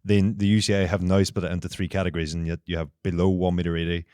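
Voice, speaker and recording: Deep-voiced man explaining at length. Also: level tone, fast talker, a little mellow, dry recording. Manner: analytical, builds long explanations with restarts. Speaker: podcast co-host. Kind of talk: casual podcast chat.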